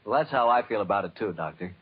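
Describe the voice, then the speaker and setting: A man's voice: on the low side, lively intonation, fast talker, slightly rough. A man with an American accent hosting and announcing in the polished style of 1940s radio. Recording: dull vintage radio recording. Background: music.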